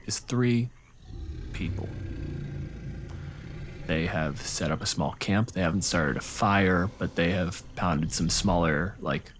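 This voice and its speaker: A man narrating a tabletop game as game master, speaking in measured, halting phrases. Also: low-pitched, a little hiss or hum.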